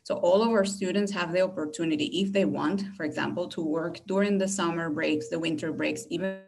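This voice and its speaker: A woman with a slightly low voice speaks English with a Venezuelan accent, as a native Spanish speaker. She presents in an informative, promotional style as a university recruiter. Her delivery is explanatory, with small conversational hedging phrases. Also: steady tone, a little fast, gravelly, a little mellow. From Caracas, Venezuela. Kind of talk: informative promotional talk to camera.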